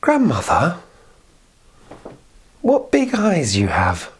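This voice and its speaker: A man's voice: a little high, huge pitch swings, very slow, slightly rough, voice pushed up, full character voice. A man voicing a polite, innocent little girl in a children's story, earnest and a bit hesitant. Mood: curious, hesitant.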